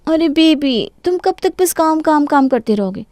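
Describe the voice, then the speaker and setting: A woman's voice: sits high, expressive, moderate pace, darkish tone, dry recording. A woman voicing a sly, sweetly apologetic young daughter-in-law in a Hindi cartoon story. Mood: coaxing. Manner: mock-innocent, pleading, sugary.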